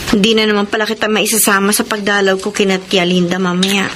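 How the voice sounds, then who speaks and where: A woman's voice: medium pitch, flat delivery, fast talker, lightly acted. An actress with a Filipino accent playing an anxious, wounded, pleading young live-in girlfriend in a radio melodrama. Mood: disappointed. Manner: emotional, pleading. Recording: clear background noise on broadcast.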